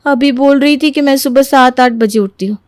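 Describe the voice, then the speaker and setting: A woman voicing a young, exasperated daughter-in-law who argues back, in an animated family moral story. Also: sits high, normal speed, no room sound, clean studio sound, lightly acted. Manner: pert, aggrieved, arguing.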